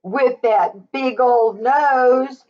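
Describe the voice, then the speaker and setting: A woman reading a picture book aloud to young children, in a sing-song, drawn-out way with questions to her listeners. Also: high voice, lo-fi sound.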